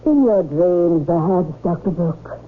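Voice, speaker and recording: An actress playing a soothing, patronising sanitarium nurse with a measured, coaxing manner in old-time radio drama style. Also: female voice, fairly low pitch, expressive. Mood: coolly insistent. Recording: noisy, tinny radio drama recording.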